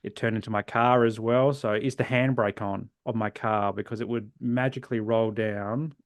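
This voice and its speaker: An Australian man in his forties, a guest in a podcast interview, telling his own story earnestly and reflectively, often trailing off softly at the ends of phrases. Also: on the low side, fairly even intonation, normal speed, no echo. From South Australia. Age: 40s.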